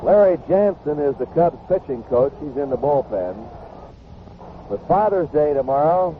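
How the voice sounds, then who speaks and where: A man's voice: fairly high pitch, expressive, moderate pace. An American man calling baseball play-by-play as a live radio announcer. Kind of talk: live radio sports commentary. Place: ballpark broadcast booth. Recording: noisy, tinny 1970s AM radio broadcast.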